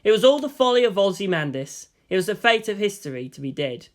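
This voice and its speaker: A male narrator reads a piece of fan fiction in audiobook style. His voice sits clearly higher than most men's, and he delivers the prose as scripted storytelling. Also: big pitch swings, fast talker.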